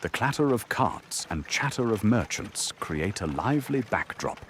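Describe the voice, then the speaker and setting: A man's voice: lowish voice, big pitch swings, moderate pace, fairly bright tone, slight background noise. A man narrating an anime audio drama in a dramatic, scripted storytelling style.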